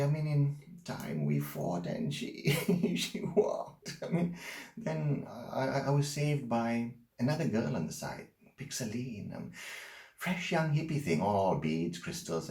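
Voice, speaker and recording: A man's voice: low voice, vocal fry, a little mellow, exaggerated voice. A man playing an unsettling, haunted man who stammers through an intense dramatic monologue about his troubled life. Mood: agitated. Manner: halting, stammering, intense storytelling. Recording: roomy, echoing room.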